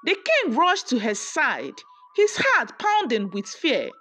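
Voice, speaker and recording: Female storyteller for folktale narration: higher voice, very animated intonation, expressive delivery. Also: slow pace, slight background noise. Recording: music under the voice. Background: background music.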